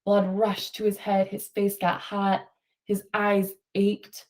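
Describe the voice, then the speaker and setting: A woman's voice: mid-range voice, completely flat delivery, slow, darkish tone. A woman teacher reading a picture book aloud to children and prompting them with questions, affirming their answers.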